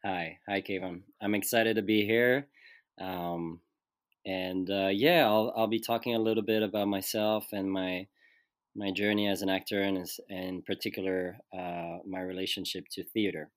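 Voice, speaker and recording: A man's voice: low-pitched, fairly even intonation, normal speed, dry recording, studio quality. A man from Switzerland, a guest actor talking through his life in a thoughtful, searching way. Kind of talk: casual conversational interview.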